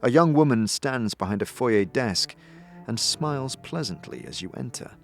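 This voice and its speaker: Guy narrating a fantasy audio drama, very expressive storyteller, bit of music behind. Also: mid-range voice, moderate pace, gravelly. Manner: dramatic, storytelling in second person. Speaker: narrator, game master.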